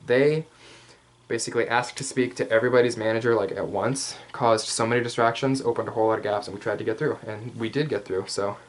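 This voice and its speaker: A man voicing a male hyena furry commander in a casual, rambling, playfully cocky ASMR roleplay, speaking straight to the listener with slangy asides and hesitant pauses. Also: mid-range voice, fairly even intonation, lightly acted. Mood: casual, boastful. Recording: clear background noise.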